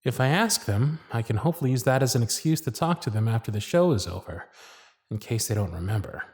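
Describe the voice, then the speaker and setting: Smitten magician's inner voice, acted by a man: hushed, fond, confiding, intimate ASMR roleplay monologue. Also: medium pitch, lively intonation, quick pace, pitched below the performer's own voice, natural voice. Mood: smitten, musing. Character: smitten magician's private thoughts, shy and fond.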